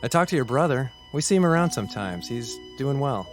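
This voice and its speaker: An actor playing a friendly but somber neighborhood acquaintance in a radio drama, plain and earnest. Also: male voice, medium pitch, animated, a little fast, soft background music, lightly acted.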